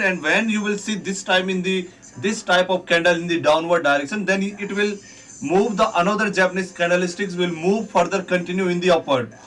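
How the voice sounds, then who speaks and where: A man's voice: sits high, a bit bright. A man on a live trading stream, talking viewers through candlestick charts and reading out chat comments. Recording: distant mic with faint music behind.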